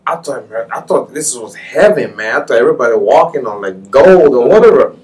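A man's voice: mid-range voice, animated, a little fast, darkish tone, recorded from a distance. A man from Liberia, a guest chatting casually and agreeably in a studio interview. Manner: agreeable, frequent short affirmations. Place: small TV studio.

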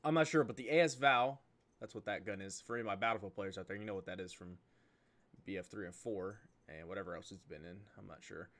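A man's voice: fairly low pitch, fast talker, fairly bright tone. A young American man giving casual reaction commentary as the host of a gaming video. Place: indoors at a desk, computer setup.

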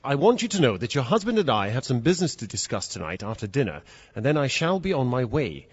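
An actor playing an earnest, formal young gentleman with an English accent in a radio drama. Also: mid-range voice, very animated, fast talker, breathy edge, no room sound. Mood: stiff, indignant. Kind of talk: radio drama narration and dialogue. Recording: dull radio recording under loud music.

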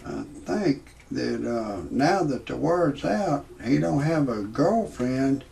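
A man, telling a rambling parody comedy monologue in a halting way, full of "uh" fillers and restarts. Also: medium pitch, animated, mellow. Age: 60s. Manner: slow, halting delivery with many "uh" fillers and restarts; gravelly voice. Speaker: comedy storyteller.

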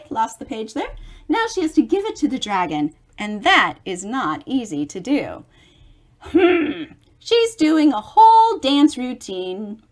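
A middle-aged woman reads a picture book aloud to children at an unhurried pace. Her voice sits high and swings widely in pitch. She is expressive and adds chatty asides to explain things. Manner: expressive, animated, explaining to young listeners. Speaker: storybook reader for children.

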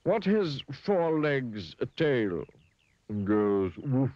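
A man's voice: mid-range voice, sing-song, very slow, darkish tone, no room sound, muffled recording, voice pushed up. A man voicing a smug, sly elephant in a children's story, drawling condescendingly. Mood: testing.

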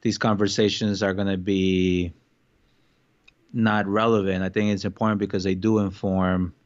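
A man from Colombia co-hosts a podcast, his voice low and flat. He talks in a measured, reflective way. The recording sounds dull and lo-fi. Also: no room sound. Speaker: podcast co-host. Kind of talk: casual chat.

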